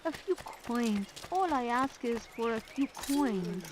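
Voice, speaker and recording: An actress playing a desperate beggar woman in a medieval game, pleading in drawn-out wails. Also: on the high side, expressive, very noisy. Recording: loud music behind the voice.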